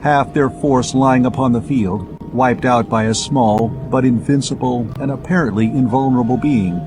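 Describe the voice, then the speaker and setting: Medium-pitched man narrating pulp adventure, music behind. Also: moderate pace. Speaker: audiobook narrator. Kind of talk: scripted pulp science fiction narration.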